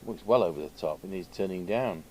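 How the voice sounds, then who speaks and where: Low-voiced man muttering at a workbench. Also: fast, darkish tone, low-quality recording. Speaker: radio repair vlogger. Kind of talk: casual hands-on bench commentary. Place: indoors at an electronics workbench. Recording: clear background noise from a workshop. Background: background noise.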